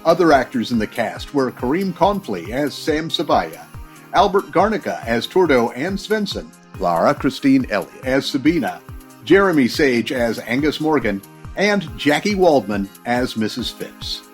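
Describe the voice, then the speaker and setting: A man's voice: mid-range voice, big pitch swings, moderate pace, faint music behind. A man announcing scripted credits as the show's announcer.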